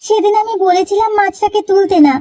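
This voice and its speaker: A woman voicing a gentle, principled young sister-in-law in a cartoon, speaking in an earnest and calmly resolute way. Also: extremely high pitch, fairly quick. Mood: sad, resolute. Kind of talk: Bengali cartoon voice acting.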